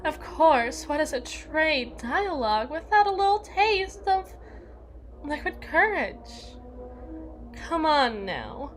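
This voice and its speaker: Slow, haughty evil queen, acted by a woman. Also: high voice, big pitch swings, soft background music, heavily acted. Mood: silky, coaxing. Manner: haughty, theatrical, deliberate formal diction. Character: jealous, menacing evil queen, regal and possessive. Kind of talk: ASMR roleplay voice acting.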